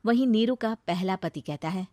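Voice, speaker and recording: A woman's voice: medium pitch, moderate pace, darkish tone, no echo. A woman narrating a scripted, dramatised story as its storyteller.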